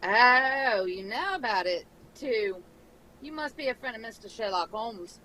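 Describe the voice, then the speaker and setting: A woman's voice: fairly high pitch, expressive, unhurried, pushed and bright, low-quality recording. A woman playing a brash, scrappy working-class London street woman in a radio drama, brassy and slangy. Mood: chummy, conspiratorial. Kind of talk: old-time radio drama script read live.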